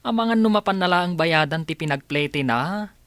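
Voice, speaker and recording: A woman's voice: lowish voice, lively intonation, moderate pace, fairly bright tone, no room sound. A woman with an Ilocano accent playing a respectful staff member in a radio drama. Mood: gossipy.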